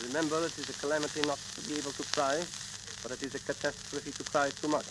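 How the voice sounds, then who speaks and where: A man playing a dignified, fatherly rajah in 1930s radio drama, speaking formally and deliberately on a crackling old disc. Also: on the high side, little pitch movement, natural voice. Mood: firm, commanding. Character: dignified, fatherly rajah ruling a tropical island. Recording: noisy, crackling 1938 transcription disc.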